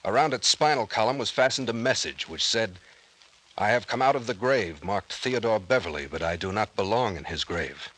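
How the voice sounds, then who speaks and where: An actor playing a brusque, commanding private investigator captain with gruff, slangy talk in an old-time radio drama. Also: male voice, fairly low pitch, animated, normal speed, fairly bright tone, rough recording. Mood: narrating grimly. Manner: gruff, bossy, folksy slang. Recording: vintage radio drama recording.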